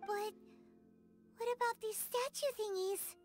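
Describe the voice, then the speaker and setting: A woman voicing a tiny, childlike, excitable fairy companion in a video game, squeaky and blunt. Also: a little slow, faint music behind. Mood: puzzled. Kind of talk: English video game voice acting.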